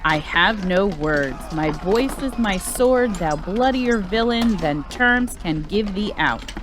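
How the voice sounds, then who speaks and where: A woman's voice: mid-range voice, lively intonation, slow pace, music in the background. A woman playing a fierce, vengeful warrior thane in an amateur Shakespearean dramatic reading. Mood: furious. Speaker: amateur reader in library staff dramatic reading. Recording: noticeable background noise, clicks.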